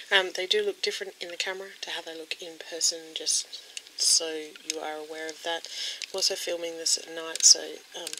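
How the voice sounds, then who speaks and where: Croaky-voiced woman chatting over nail polish. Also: deep voice. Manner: chatty, rambling with many ums. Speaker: beauty vlogger. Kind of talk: casual product demo. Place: home desk at night. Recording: home recording with clear background noise.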